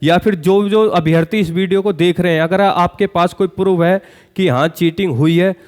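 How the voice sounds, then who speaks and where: A man's voice: high voice, steady tone, moderate pace. A man giving earnest, pointed commentary straight to viewers as an exam-news YouTuber, often posing rhetorical questions. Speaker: exam-news YouTuber addressing job aspirants.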